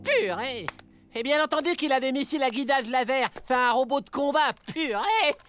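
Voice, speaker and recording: An actor playing a scheming, excitable store clerk with a theatrical, self-important way of talking, in a French cartoon dub. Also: male voice, extremely high pitch, normal speed. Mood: boastful. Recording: music bed under the voice.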